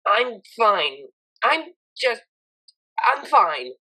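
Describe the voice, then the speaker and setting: Troubled young unicorn mare, played by a woman, storybook character, guarded, curt and pleading, with animated pitch. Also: drawn-out words, exaggerated voice, poor audio. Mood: defensive.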